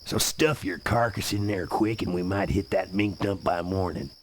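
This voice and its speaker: An actor playing a shady, folksy trucker and smuggler, with a gruff, cocky, colloquial way of talking. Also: male voice, low-pitched, moderate pace, slight background noise, natural voice. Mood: cocky, scheming. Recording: loud music behind, game audio.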